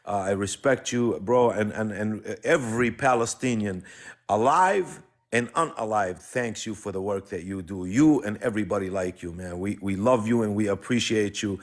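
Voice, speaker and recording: A man's voice: lowish voice, normal speed. A man calling in as a guest on a live stream, speaking in an impassioned political way and repeating phrases for weight.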